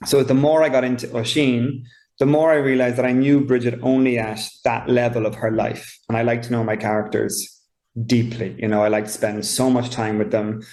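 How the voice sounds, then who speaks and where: A man's voice: medium pitch, level tone, moderate pace, a little mellow, roomy sound. A man with an Irish accent, a novelist speaking reflectively in an interview, often searching for his words. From Ireland. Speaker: novelist guest. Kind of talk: conversational author interview.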